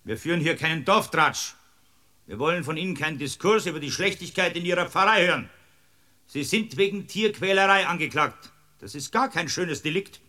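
An actor playing a stern, exasperated district court judge with a Bavarian accent in a comic radio drama, scolding with official authority. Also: male voice, high-pitched, bright, forceful tone. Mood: stern reproof.

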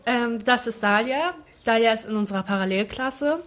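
A woman's voice: on the high side, moderate pace, a bit bright. A teenage girl, a school pupil, casually describing her friends in a documentary. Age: teens.

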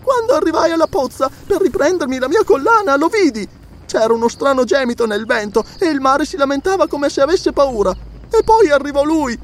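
A man's voice: very high-pitched, lively intonation, fairly quick, pitched above the performer's own voice. A man voicing a frightened little servant girl who stammers and pleads in a storybook reading. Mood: fearful, awed.

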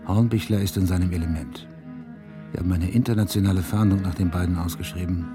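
An actor playing a stern, weary police detective in a crime radio play, terse and brooding. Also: male voice, low voice, steady tone, fast talker, music in the background, lightly acted. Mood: brooding, reflective. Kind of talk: German radio drama acting, inner monologue.

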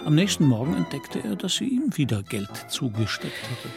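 A man narrating a literary radio play as a composed storyteller, measured and articulate. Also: on the high side, big pitch swings, gravelly, music in the background. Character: composed male storyteller of a Parisian novel.

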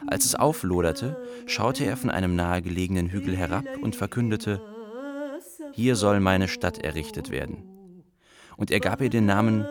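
A man playing a storyteller who recounts legends and history in an epic, measured radio drama narration. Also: fairly low pitch.

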